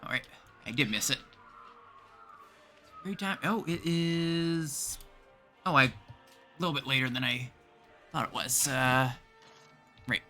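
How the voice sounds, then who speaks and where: A man's voice: mid-range voice, takes it slowly, long pauses, sharp tone, soft background music. A man from Canada chatting casually as he livestreams a game.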